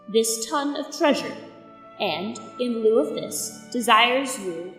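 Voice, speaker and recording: A young woman playing a French ambassador in a Shakespearean audio drama, formal and measured in verse. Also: a little high, recorded from a distance, lightly acted. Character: formal French royal ambassador bearing a scornful message. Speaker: amateur student actor. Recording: echoey hall reverb under music.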